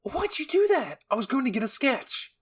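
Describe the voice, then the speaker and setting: A man voicing a manic, excitable, eccentric woman scientist in an anime fan story, wailing loudly. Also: very high voice, normal speed, slightly rough, pitched above the performer's own voice, heavily acted. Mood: wailing dismay.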